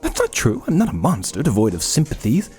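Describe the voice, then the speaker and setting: A man's voice: mid-range voice, huge pitch swings, brisk, a little mellow, soft background music. A man playing an arrogant, obsessive young medical researcher in an audio drama, speaking in a pompous, formal way. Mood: brooding.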